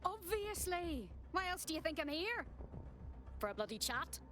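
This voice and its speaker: A woman's voice: a little high, expressive, normal speed, a bit bright, faint music behind. A woman playing a cheeky, sarcastic hacktivist with a British accent, sardonic and blunt, in a video game. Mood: exasperated. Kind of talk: video game cutscene acting.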